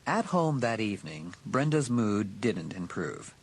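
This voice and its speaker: A man with a husky voice narrating a teen thriller audiobook in a dramatic, scripted style. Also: on the low side, very animated, unhurried.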